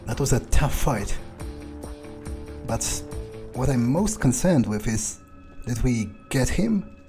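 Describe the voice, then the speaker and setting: Male let's-player, mid-pitched, blunt and foul-mouthed, role-playing aloud over faint music. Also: sing-song, slow pace. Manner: sweary, blunt, informal. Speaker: gamer playing in character. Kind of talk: in-character video game playthrough, thinking aloud. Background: faint game music.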